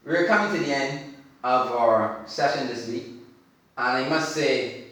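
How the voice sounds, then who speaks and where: A man's voice: mid-range voice, unhurried, fairly bright tone. A man hosting a talk show in a conversational style, emphatic and given to repeating his phrases. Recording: very echoey room, far from mic.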